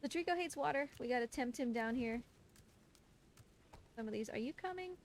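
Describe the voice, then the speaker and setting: A woman's voice: sits high, animated, moderate pace. A woman giving live gameplay commentary as a speedrunner, with playful, coaxing asides to a game character. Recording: clear background game noise.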